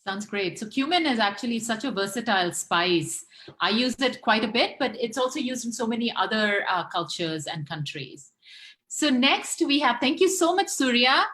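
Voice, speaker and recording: A woman's voice: a little high, lively intonation, moderate pace, fairly bright tone. A woman hosting a casual group chat, interviewing children in an encouraging way and often chiming in with short affirmations. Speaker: host.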